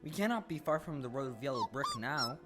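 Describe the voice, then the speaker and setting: A woman's voice: low voice, huge pitch swings, brisk. A woman playing an earnest, friendly, take-charge scarecrow in a stage audio play, with an emphatic, urging delivery. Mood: concerned. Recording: live stage recording with music.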